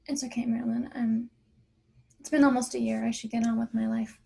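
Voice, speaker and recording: A vulnerable, protective young mother played by a woman at a drama table read. Her voice is high and very husky, with an anxious edge. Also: steady tone, fairly quick, dark tone, exaggerated voice. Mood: wistful, subdued.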